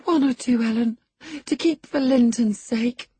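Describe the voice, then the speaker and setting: A woman voicing a distraught young girl, pleading breathlessly in a high voice. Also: a little slow, mellow, husky, no room sound. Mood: begging.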